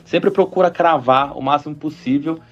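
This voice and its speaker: Rambling musician guest, dull lo-fi sound. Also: male voice, a little high, normal speed, soft background music. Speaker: double bassist, guest. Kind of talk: radio interview.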